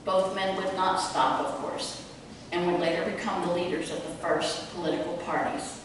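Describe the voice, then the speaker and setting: Woman narrator, lower register, scripted live introduction; very reverberant, distant room with clear noise. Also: fairly even intonation, normal speed. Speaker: narrator introducing a dramatic reading. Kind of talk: scripted narration read aloud. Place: museum room, live community theatre event. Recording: echoey hall from far off, noisy.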